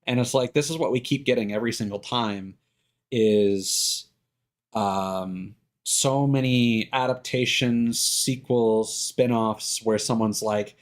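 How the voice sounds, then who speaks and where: A man streaming live, talking casually and giving his opinions in a halting way, circling back over his words. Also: medium pitch. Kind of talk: casual opinionated rambling on a livestream.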